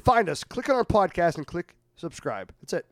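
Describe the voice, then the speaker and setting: A man's voice: high-pitched, very animated, moderate pace, fairly bright tone, dry recording, clean studio sound. A man hosting a podcast, giving an upbeat, chatty outro that urges listeners to subscribe and review. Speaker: podcast host.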